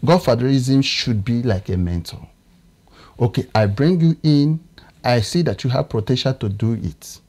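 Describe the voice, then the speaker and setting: A man in his thirties with a Nigerian English accent, a political analyst talking in a TV studio, often pausing to search for words. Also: mid-range voice, darkish tone. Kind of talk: studio discussion.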